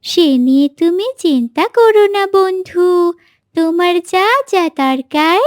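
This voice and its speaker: Magical peacock, voiced by a woman: high, unhurried, gentle and generous, children's cartoon friend, dry sound. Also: no room sound. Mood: reassuring.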